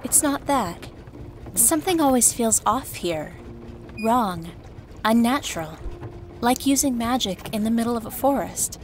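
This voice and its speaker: A woman acts out an uneasy young-sounding village dye maker in a fantasy audio drama. Her voice sits fairly high and she speaks in a measured, pensive way over faint ambience. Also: expressive, slight background noise.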